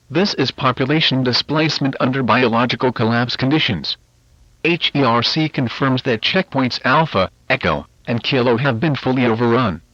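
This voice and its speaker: A man's voice: mid-range voice, a bit bright, dry recording, natural voice. A man plays a stern government emergency announcer in an analog horror broadcast. His delivery is formal and authoritative, with clipped military phrasing. The sound is dry and somewhat muffled, like a lo-fi transmission. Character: stern official of a government biohazard agency issuing evacuation orders. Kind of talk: scripted emergency alert narration, analog horror.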